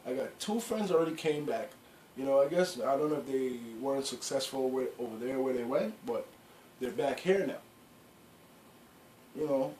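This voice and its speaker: A man's voice: mid-range voice, expressive, normal speed, long pauses, a little mellow. Middle-aged man telling his story. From Guyana. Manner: rambling, lots of "you know" and restarts. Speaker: clothing brand founder. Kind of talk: casual storytelling interview. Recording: echoey room with faint background noise.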